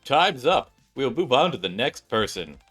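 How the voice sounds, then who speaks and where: A commanding contest judge in a video game, voiced by a man reading along. The delivery is formal and announcing, with a bright, forceful edge. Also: medium pitch, big pitch swings, normal speed, husky, faint music behind.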